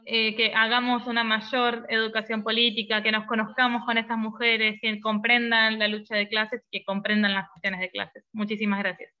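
A woman's voice: fairly high pitch, flat delivery, moderate pace, few pauses. A woman giving a political speech to a meeting over a video call. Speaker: participant addressing a political meeting. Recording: dull lo-fi video call audio.

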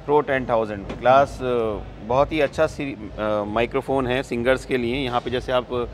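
A man in his thirties at a trade expo, explaining products with frequent "uh" pauses and English words mixed in. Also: mid-range voice, fairly even intonation, a little hiss or hum. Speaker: company representative at a trade expo. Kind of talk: product walkthrough interview. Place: indoor exhibition hall. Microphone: lapel mic. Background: music from the expo.

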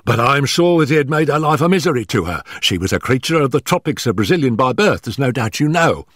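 A man reading an audiobook, voicing a nervous male estate manager who stammers and talks in hurried, breathless bursts. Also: mid-range voice, big pitch swings, no room sound, clean studio sound, pitched above the performer's own voice. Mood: bitter, pleading.

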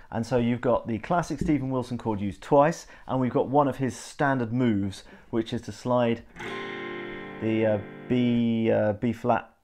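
A man in his thirties talking casually to camera in a living room, explaining things in a geeky, thorough, hesitant way. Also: medium pitch. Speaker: host of a video channel. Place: living room indoors, on a sofa.

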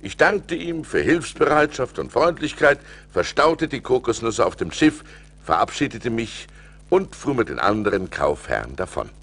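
An actor playing a rich seafaring merchant who recounts his adventures as an expressive storyteller in a radio play. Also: mid-range voice, moderate pace. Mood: animated recounting. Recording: vinyl record transfer with music behind.

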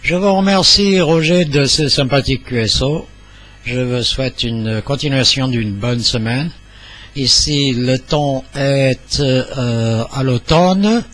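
An older man at a studio microphone in a small radio room, making a courteous amateur radio call. He speaks formally and haltingly, at a medium pitch. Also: a bit bright. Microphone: studio mic on boom arm, headset.

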